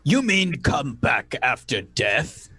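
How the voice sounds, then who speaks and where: Man acting a lively radio mystery narrator. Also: mid-range voice, slow, husky, no room sound, studio quality, full character voice. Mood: dismissive. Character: Dave, skeptical man narrating an eerie murder mystery.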